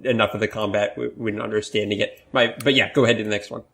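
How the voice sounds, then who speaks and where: A man's voice: fairly low pitch, steady tone, fast talker, creaky, fairly bright tone, breathy edge. A man chatting casually as a podcast co-host. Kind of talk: casual chat.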